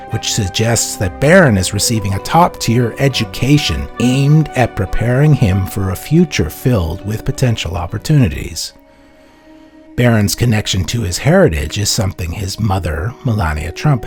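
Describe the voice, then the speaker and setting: Male narrator, formal polished read, lively mid-pitched voice, soft music under it. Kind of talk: scripted documentary narration. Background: faint background music.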